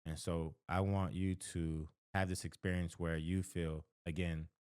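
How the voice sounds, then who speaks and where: A low-pitched American man speaks as a counselor in a close, dry recording. He lays out advice conversationally, stressing points by repeating them and tagging them with short check-ins to the listener. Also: level tone, a little slow, a little mellow, smooth, no echo. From the American South. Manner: emphatic, repeats key phrases for stress; conversational, often checks in with "right?" and "okay?". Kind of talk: direct-to-camera advice talk.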